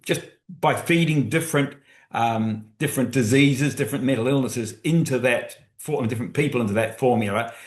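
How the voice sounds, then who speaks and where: Male author, mid pitch, explanatory webinar talk, roomy echo. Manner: explanatory, thinks aloud with restarts. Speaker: co-author guest speaker.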